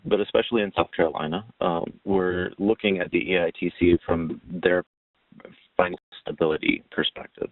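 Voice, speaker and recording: Low-voiced man on a phone-like line. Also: no echo. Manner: halting, pausing mid-sentence. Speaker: webinar presenter. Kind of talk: informal explanatory presentation. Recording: muffled, dry phone-like line.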